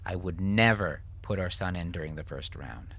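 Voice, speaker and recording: A narrator acts out a middle-aged male doctor in a storybook drama, defending himself as husband and father. His voice is very low and forceful, firm yet weary. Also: moderate pace, breathy edge, full character voice. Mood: frustrated, firm. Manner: firm, weary, self-justifying.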